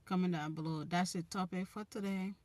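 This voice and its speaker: A woman's voice: lowish voice, steady tone, a little fast, a little mellow, no room sound. A young Liberian woman with a Liberian accent, hosting a casual live chat about relationships and giving earnest advice, restarting her phrases often. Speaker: relationship channel host. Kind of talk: casual live-stream chat answering viewers.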